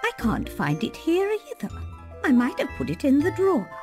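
A woman's voice: sits high, wildly swooping pitch, normal speed, music in the background, heavily acted. A woman playing a scheming, bustling middle-aged queen in a children's storybook, speaking expressively as if instructing children. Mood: busy, instructive. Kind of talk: children's storybook character acting.

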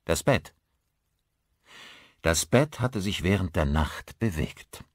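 A man's voice: low voice, expressive, takes it slowly, no echo, studio quality. A man narrating a horror story as an audiobook, in a suspenseful, theatrical, scripted manner.

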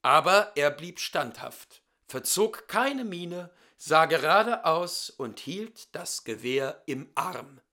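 Slow, bright-voiced male storyteller. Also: a little high, big pitch swings. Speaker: storyteller reading a fairy tale. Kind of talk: expressive, dramatic fairy-tale narration.

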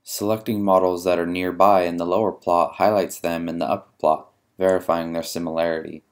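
Male narrator, low register, measured and methodical, for a scripted software demo. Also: little pitch movement, smooth. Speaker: software demonstrator. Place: voice-over for a screen recording.

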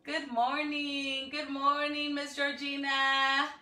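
High-pitched woman reading slowly, echoey room. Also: level tone, sharp tone. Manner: encouraging, addressing children with questions. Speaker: school educator reading to students. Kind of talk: read-aloud for children on a livestream. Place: living room at home, indoors. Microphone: phone or camera a few feet away. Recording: echoey room, distant from the microphone.

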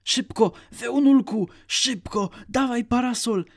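A man's voice: very high-pitched, slow pace, voice pushed up, exaggerated voice. A man voicing a cheerful, bossy little female frog who gives eager instructions. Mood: urgent.

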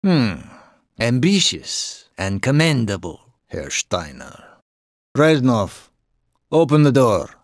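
Slow, menacing Soviet villain with Russian accent. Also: male voice, medium pitch, sing-song. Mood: quiet menace. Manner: slow, deliberate, commanding, threatening. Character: cold, menacing Soviet military villain and spymaster. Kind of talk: dramatic video game villain lines.

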